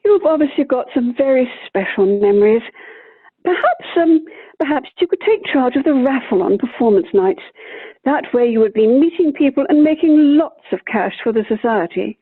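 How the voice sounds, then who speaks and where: A woman's voice: high-pitched, lively intonation, normal speed, breathy edge. A woman playing a tactful, placating amateur theatre director in a radio play, polite and reassuring. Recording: tinny, phone-like video call audio.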